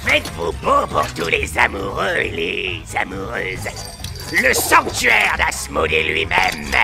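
A crude, showy cartoon robot jester, hosts a nightclub stage. The voice is extremely creaky and leaps wildly in pitch. Music plays clearly behind the announcing. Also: male voice, huge pitch swings, takes it slowly, full character voice. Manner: showy, proclaiming. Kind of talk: French fan dub, stage announcing.

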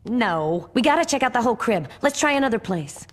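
A woman's voice: a little high, sing-song, fairly quick. A woman playing a cocky, streetwise young bodyguard with a punchy manner in an action video game. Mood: cocky, urgent. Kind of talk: video game cutscene voice acting.

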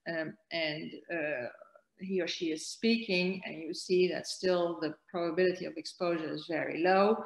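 Low-voiced woman lecturing over slides. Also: creaky, echoey room, low-quality recording. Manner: hesitant, many fillers and restarts. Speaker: keynote speaker on virus transmission. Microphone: webcam on a video call.

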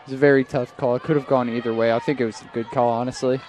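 A young man doing live play-by-play for a night soccer game outdoors, his voice strained, getting louder on attacks and correcting himself often. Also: medium pitch, little pitch movement, rapid-fire. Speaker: play-by-play commentator. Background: crowd noise.

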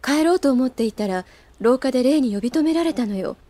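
A woman's voice: high-pitched, animated, fairly quick, dark tone. A woman playing a poised, aloof, ladylike senior schoolgirl in an anime audio drama. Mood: cool, composed.

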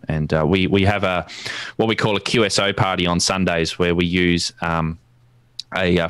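An Australian man giving an informal technical presentation in a halting, explanatory way. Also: low voice, a bit bright, no echo. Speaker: guest presenter, amateur radio enthusiast. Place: indoors at a desk, video call.

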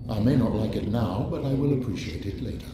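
A man playing a stoic alien mind stored as data, speaking formally and in a measured way in a video game. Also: fairly low pitch, expressive, close to an everyday voice. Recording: echoey, noisy game ambience.

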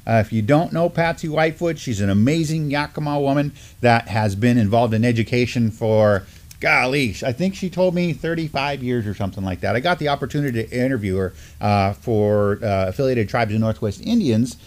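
A man's voice: mid-range voice, brisk, a little mellow. A middle-aged man with an American accent hosting a casual news discussion in an informal, enthusiastic way. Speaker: news show host. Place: indoor studio at a table.

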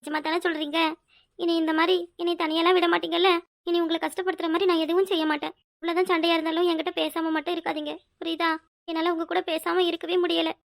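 A woman's voice: very high-pitched, fairly even intonation, fast, pushed and bright. A woman playing an emotional, stubborn young wife in a domestic drama, pleading and pouting in a plaintive, insistent way. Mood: tearful pleading. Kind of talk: domestic serial drama acting.

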